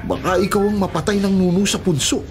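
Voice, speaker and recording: A man's voice: high voice, fast, lightly acted. An actor playing a worried, cautious man, a policeman's friend, in a Filipino radio drama. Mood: apprehensive. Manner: apprehensive, cautioning. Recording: dramatic music under the voice.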